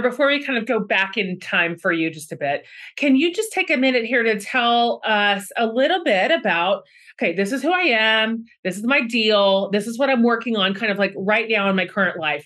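A woman's voice: mid-range voice, animated, brisk, fairly bright tone. A woman in her thirties hosting a podcast, effusive and chatty, with frequent "like" and "kind of". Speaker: podcast host. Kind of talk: enthusiastic interview hosting.

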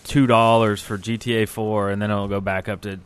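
A man's voice: lowish voice, little pitch movement, brisk, clear, resonant tone. A man co-hosting a podcast, chatting in a laid-back, informal way. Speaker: podcast co-host. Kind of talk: casual chat.

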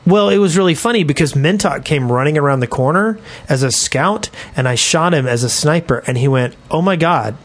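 A man with a slightly high-pitched voice co-hosts a casual gaming podcast. He talks in an emphatic, argumentative way and repeats phrases to drive his point home. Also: animated, fairly quick, hardly any pauses. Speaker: podcast co-host.

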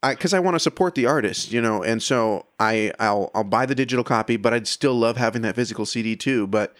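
A man's voice: mid-range voice, brisk, a bit bright, dry recording, clean studio sound. A man speaking as a music podcast host and interviewer in a casual, conversational style.

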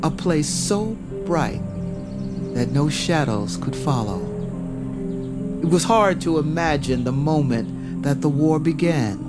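A man giving solemn, measured, scripted narration of a dramatic fantasy tale. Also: on the high side, expressive. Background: prominent music.

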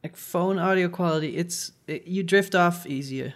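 A man's voice: high-pitched, fairly bright tone. A man co-hosting a podcast in casual chat, often stumbling and restarting his sentences. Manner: halting, with frequent stuttered restarts. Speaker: podcast co-host.

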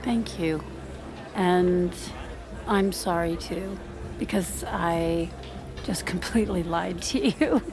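A woman's voice: on the low side, takes it slowly, a crowd in the background. An actress playing a hesitant, candid working mother who speaks self-consciously, in a naturalistic audio drama. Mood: sheepish.

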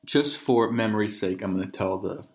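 Low-voiced joking man in an echoey room. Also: normal speed. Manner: joking, teasing. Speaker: podcast host interviewing his partner. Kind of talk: casual home conversation. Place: kitchen, at the table during a meal. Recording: roomy echoing home recording.

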